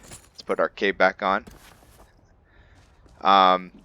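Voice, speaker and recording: A man hosting a gaming video, giving casual, halting commentary in an informal, rambling way. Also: deep voice, little pitch movement, sharp tone, clean tone. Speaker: gaming channel host. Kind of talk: casual gameplay commentary introducing a roleplay character.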